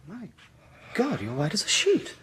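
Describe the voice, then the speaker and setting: An actor playing a breezy, sceptical upper-class English gentleman in a radio drama, hearty and bantering. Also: high voice, wildly swooping pitch, a little slow, heavily acted. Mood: puzzled concern. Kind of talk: radio horror drama acting.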